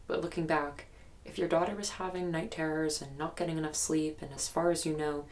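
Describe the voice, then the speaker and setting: An actress playing an earnest young woman recounting frightening memories in a halting, guarded, matter-of-fact interview style for an audio drama. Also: low-pitched, steady tone, lightly acted. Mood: frustrated, measured. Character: haunted, earnest young woman recalling childhood terror.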